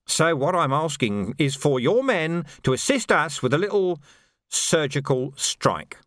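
A man's voice: fairly high pitch, big pitch swings, unhurried, fairly bright tone, no room sound, studio quality, pitched above the performer's own voice. A man voicing a menacing, unhinged male sergeant who talks with sneering mock politeness.

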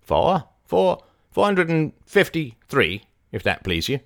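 Male narrator as servile rustic keeper, folksy dialect, stammering, raised lively pitch, audiobook character. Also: slow, gravelly, no echo, studio quality, full character voice. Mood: halting, deferential. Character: rustic one-eyed asylum keeper, servile, muddled.

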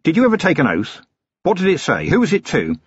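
A blunt, exasperated police commander, acted by a male narrator. He lectures forcefully in a husky voice with big swings of pitch, as in an audiobook. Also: wildly swooping pitch, fast, dry recording, highs cut off, exaggerated voice. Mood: urgent, blunt.

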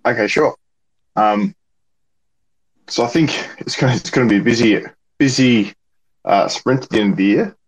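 A man's voice: mid-range voice, moderate pace, long pauses, breathy edge, poor audio. A man co-hosting a casual chat, speaking hesitantly and giving short replies. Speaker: guest co-host.

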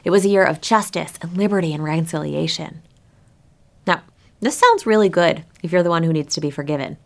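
A woman's voice: sits low, a little fast, creaky. A woman pastor giving a prepared but conversational sermon, speaking directly to her listeners and posing rhetorical questions.

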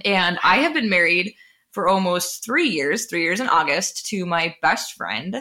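Bright-voiced young American woman, slightly low. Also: animated, measured pace. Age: 20s. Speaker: podcast co-host. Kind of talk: casual chat.

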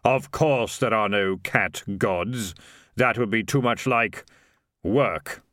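A man voicing Death, a grave and aloof male reaper who speaks slowly and solemnly. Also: fairly low pitch, a bit bright, no echo, clean studio sound. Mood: solemn, dry. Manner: slow, solemn, measured.

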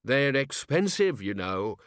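A man's voice: mid-range voice, big pitch swings, slow, a bit bright, no echo, studio quality. A man voicing a fussy, indignant academic wizard who grumbles peevishly in a storybook reading. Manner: peevish, muttering.

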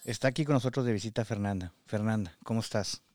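A man's voice: fairly low pitch, quick pace, over loud music. A man hosting a podcast, introducing and interviewing. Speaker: podcast host.

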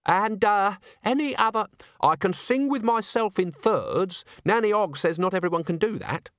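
A man's voice: high-pitched, animated, normal speed, fairly bright tone, no room sound, clean studio sound, pitched above the performer's own voice. A man doing Agnes, a shy young singer. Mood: hesitant. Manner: hesitant, then snappish. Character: young woman singer, large, shy but stubborn.

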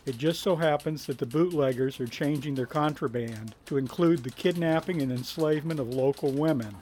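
A man's voice: on the high side, a little fast, a little mellow, lightly acted. A man playing a brusque, wry Prohibition agent and boss, clipped and commanding, in a 1930s radio drama. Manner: clipped, commanding, hard-boiled.

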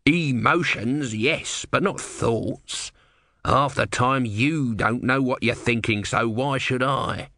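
A man's voice: medium pitch, takes it slowly, bright, forceful tone, dry recording, pitched above the performer's own voice. A grumpy little tortoise god, acted out by a male audiobook reader, snappish and full of complaint. He sounds sardonic and irreverent, close and dry on the microphone. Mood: snappish, scornful.

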